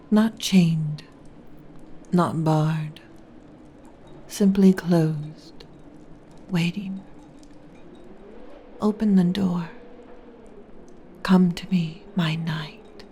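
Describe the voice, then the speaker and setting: Woman as a slow, whispering seductive lich queen. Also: fairly low pitch, mellow, slight background noise. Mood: taunting, beckoning. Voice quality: breathy whisper. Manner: slow, deliberate, teasing, regal. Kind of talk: ASMR roleplay, scripted slow dramatic monologue. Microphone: close mic.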